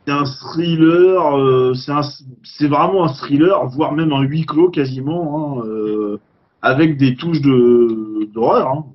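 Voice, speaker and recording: A man's voice: fairly high pitch, takes it slowly. A man co-hosting a casual film discussion, blunt and joking as he chimes in. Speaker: film podcast co-host. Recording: dull lo-fi sound.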